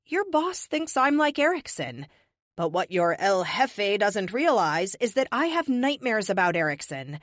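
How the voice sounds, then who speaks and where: A woman voicing a sassy, flippant, theatrically bold American heroine in an audiobook, sarcastic and animated. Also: a little high, dry recording, clean studio sound, pitched above the performer's own voice.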